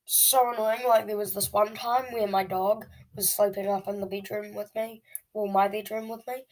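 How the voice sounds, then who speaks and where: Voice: mid-range voice, level tone, normal speed, poor audio. An eleven-year-old child hosting a video channel, telling stories casually to viewers in a dramatic, whiny way. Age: child, eleven years old.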